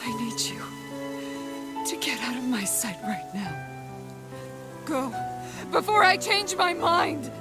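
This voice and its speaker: Fierce grieving young woman captain, game cutscene, pretty high voice, music behind. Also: slow, long pauses. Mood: bitter anguish. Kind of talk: dramatic video game voice acting.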